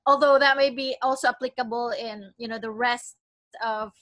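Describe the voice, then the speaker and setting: A woman's voice: on the high side, fairly even intonation, moderate pace, pushed and bright, no room sound. A young adult Filipino woman with a Filipino accent, chatting cheerfully as an interview guest, an attorney. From Philippines. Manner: chatty and cheerful, with light laughs. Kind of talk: casual interview.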